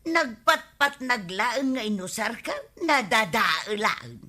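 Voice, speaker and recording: A woman's voice: fairly high pitch, lively intonation, slow, sharp tone, heavily acted. A woman playing a distressed, plaintive village wife in a radio comedy drama, given to wailing exclamations. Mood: fretful.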